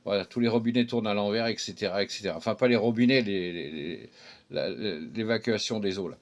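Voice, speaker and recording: A man's voice: lowish voice, brisk. Chatty middle-aged man on amateur radio. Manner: chatty, rambling, filler tags ending phrases. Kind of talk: casual radio contact chat.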